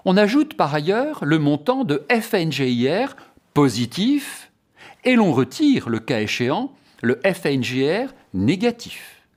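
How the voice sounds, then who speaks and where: A man presenting a formal, scripted explanatory lecture in a didactic, carefully structured way. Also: a little high.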